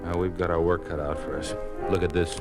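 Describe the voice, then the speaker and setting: An actor playing a world-weary, grumbling communist party officer under pressure in a 1950s radio drama. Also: male voice, deep voice, level tone, fairly quick, over loud music. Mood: tense briefing. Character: gruff, grumbling male party officer, worried boss. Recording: vintage 1950s radio recording.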